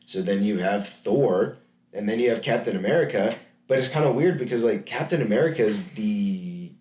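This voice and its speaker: A man's voice: fairly low pitch, moderate pace, a little mellow. Rambling man in an echoey room. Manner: rambling, many restarts and fillers. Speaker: podcast co-host. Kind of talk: casual chat.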